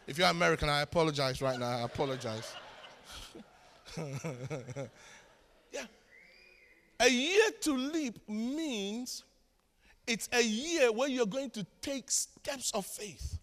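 A Ghanaian man preaching in a large church, his voice high and bright with a forceful edge. He tells stories with self-mocking humor and swings between shouted lines and quiet asides. Long reverb from the hall trails after his words. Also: animated, takes it slowly, pauses often. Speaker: pastor. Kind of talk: sermon.

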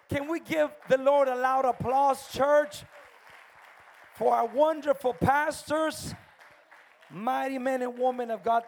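A man's voice: high voice, creaky. A man born in El Salvador and raised in America, with an American accent, preaching fervently as a guest in a church and calling out for amens. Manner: fervent, calls for amens, shouts at peaks. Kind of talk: energetic church sermon.

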